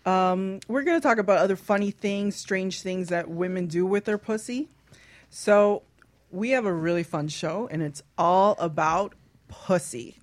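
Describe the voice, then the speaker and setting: A woman's voice: medium pitch, measured pace, a little hiss or hum. A woman hosting a talk radio show, speaking frankly and explicitly in a casual chat with filler ums.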